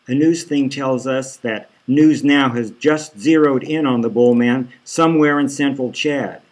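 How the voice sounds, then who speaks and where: Man reading a story at slow pace. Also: mid-range voice. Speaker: author reading own novel. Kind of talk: scripted audiobook narration, dark satire.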